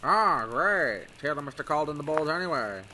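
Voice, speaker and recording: An actor playing a brash, tough gangster and smuggler in an old-time radio drama, with slangy, blustering delivery. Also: male voice, fairly high pitch, expressive, a little fast, background noise, lightly acted. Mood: wry, hurried.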